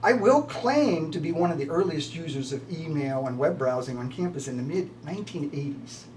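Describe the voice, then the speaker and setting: A man's voice: fairly high pitch, animated, moderate pace, darkish tone. An older man, a retiring college professor, giving prepared farewell remarks. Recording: distant mic with slight background noise.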